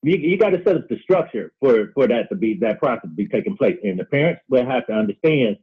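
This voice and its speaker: A man speaking earnestly as a guest on a discussion show, halting and often repeating words. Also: medium pitch, animated, breathy edge. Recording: dull lo-fi call audio.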